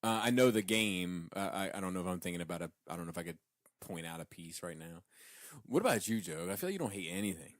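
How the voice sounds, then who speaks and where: A man's voice: low-pitched, expressive, fast, no room sound, clean studio sound. A man co-hosting a podcast in casual debate, explaining himself and qualifying his points as he goes. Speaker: podcast co-host.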